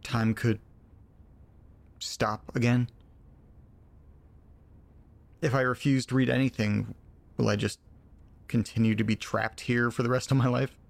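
Lonely, self-deprecating recluse played by a man for audio drama; heavy vocal fry, mid pitch, halting confessional delivery. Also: a little mellow, close to an everyday voice. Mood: resigned. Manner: hesitant, wry, self-deprecating. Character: lonely, self-deprecating male shut-in confiding in a recorder.

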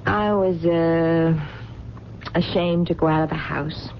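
A woman's voice: deep voice, fairly even intonation, takes it slowly. An actress playing a lonely, stubborn, dreamy woman who clings to romance, speaking in plain colloquial words in a radio drama. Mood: wistful, hesitant. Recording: muffled, noisy 1970s radio broadcast.

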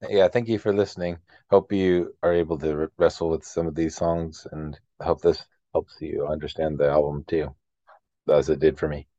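A man with a very low voice chats casually as a podcast co-host. He speaks haltingly and often rewords his thought midway. The sound is dry and somewhat muffled, a lo-fi recording. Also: level tone, warm tone, dry recording. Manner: halting, rephrases as he thinks aloud. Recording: dull lo-fi close dry audio.

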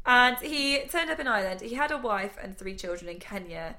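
A woman's voice: medium pitch, expressive, fairly quick, bright, forceful tone. A woman in her thirties co-hosting a podcast, chatty and sweary, telling a crime story. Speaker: podcast co-host. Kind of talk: casual chat, storytelling.

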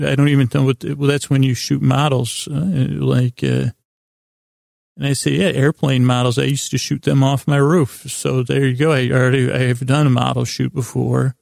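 A man hosting a podcast, delivering a meandering, improvised bedtime monologue in a halting way, repeating himself and trailing off mid-thought. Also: medium pitch, darkish tone, no room sound, clean studio sound. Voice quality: gravelly, creaky voice. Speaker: podcast host.

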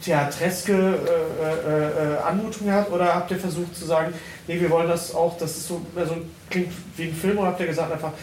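A man's voice: on the high side, fairly even intonation, a little fast, darkish tone. A man asking long, informal questions at a panel, repeating words as he builds them. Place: indoor convention hall, live event. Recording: echoey, noisy room, far from mic.

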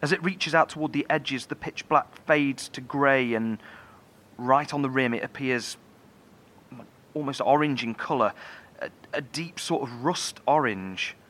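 An actor playing a thoughtful, curious male researcher in a horror audio drama, speaking in a measured, precise, brooding way. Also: mid-range voice, a bit bright, natural voice. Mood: grave fascination.

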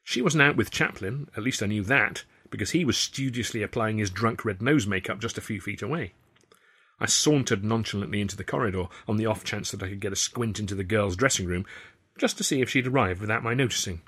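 Husky low-voiced male narrator. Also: a little fast. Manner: wry, lively storytelling. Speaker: author and narrator. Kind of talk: audiobook narration, first person.

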